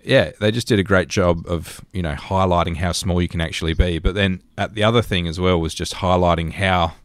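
Deep-voiced Australian man in his thirties. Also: fairly even intonation, brisk, no room sound. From rural New South Wales, Australia. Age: 30s. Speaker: podcast co-host. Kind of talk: casual storytelling chat.

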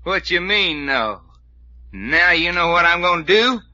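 Piercing, folksy brawler. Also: male voice, high-pitched, animated, measured pace, bright, forceful tone, dry recording, full character voice. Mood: taunting. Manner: drawling rustic slang, taunting and cocky. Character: folksy, fearless, brawling good old boy adventurer. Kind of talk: old-time radio drama acting. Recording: muffled vintage radio recording.

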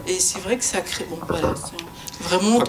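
Low-voiced woman, hesitant panel talk. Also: moderate pace, background noise. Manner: hesitant, broken phrasing. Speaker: film sound professional on an expert panel.

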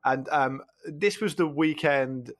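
A man's voice: a little high, takes it slowly. A man in casual podcast banter, jokey and often acting out imagined quotes. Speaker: podcast panellist.

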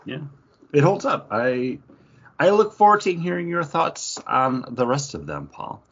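A man's voice: a little high, big pitch swings, unhurried, a bit bright, husky, muffled recording. An American man co-hosting a podcast, chatting in a casual and informal style. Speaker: podcast co-host. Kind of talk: casual, joking chat.

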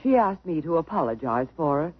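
An actress playing a prim, breathy, middle-aged mother who runs her household firmly. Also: low-pitched, very animated, a little fast, full character voice. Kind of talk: 1950s radio drama acting. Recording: muffled old radio broadcast.

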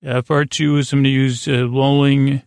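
Male sleep-podcast host, creaky mid-pitched voice, halting and rambling bedtime delivery, very dry sound. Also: little pitch movement, darkish tone, dry recording. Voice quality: creaky, gravelly. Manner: meandering, halting, stumbling over words, trailing into tangents. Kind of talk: rambling bedtime monologue to lull listeners to sleep.

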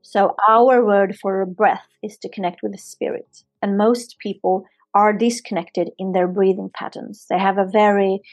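A woman with a Swedish accent speaks earnestly, her thoughts flowing on and circling back for emphasis. Her voice sits at a middle pitch and sounds close and dry. Soft music plays faintly underneath. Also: creaky, slightly rough, dry recording. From Sweden. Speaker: yoga therapist and physiotherapist guest. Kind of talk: podcast interview answer.

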